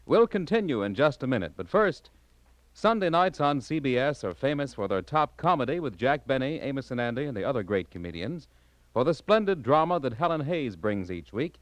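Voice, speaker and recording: A man's voice: mid-range voice, no room sound. A man announcing on network radio in a polished, emphatic 1940s style, reading scripted promotion.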